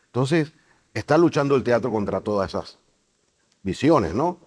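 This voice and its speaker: A man hosting a conversational interview, thinking aloud in short, halting phrases. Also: medium pitch, expressive, a little mellow. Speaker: interviewer, host.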